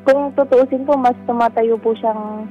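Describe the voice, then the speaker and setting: A woman's voice: a little high, steady tone, moderate pace. A polite, hesitant woman with a Filipino accent telling her own story as a caller to a radio show over a lo-fi phone call. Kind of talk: casual personal account. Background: faint music.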